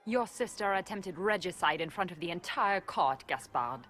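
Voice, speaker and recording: An actress playing a sly, sharp-tongued spymaster in a video game, mocking and controlled. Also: female voice, fairly low pitch, expressive, normal speed, soft background music. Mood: mocking, accusing.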